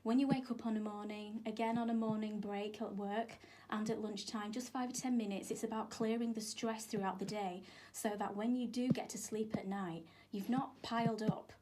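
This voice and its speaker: A woman's voice: mid-range voice, fairly even intonation, normal speed, hardly any pauses, mellow, poor audio. A woman, a yoga instructor speaking as a radio studio guest, informal, emphatic and explanatory. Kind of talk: explanatory radio interview talk. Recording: clear background noise in studio.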